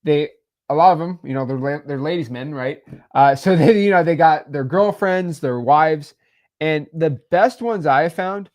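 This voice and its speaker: Guy talking shop, kinda high voice, rambly, says "right?" a lot. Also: moderate pace. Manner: animated, rambling, lots of fillers and "right?". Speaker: real estate podcast host. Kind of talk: casual business chat.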